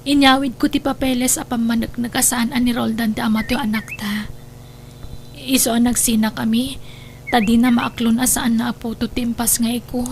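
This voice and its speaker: A woman's voice: on the high side, level tone, normal speed, slight background noise, natural voice. An actress playing a remorseful, tearful young wife and mother in an emotional, pleading audio drama style. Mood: earnest confession. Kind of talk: Ilocano audio drama acting.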